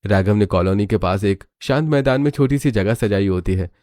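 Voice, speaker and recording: A man's voice: lowish voice, moderate pace, a little mellow. A man narrating a scripted family cartoon story.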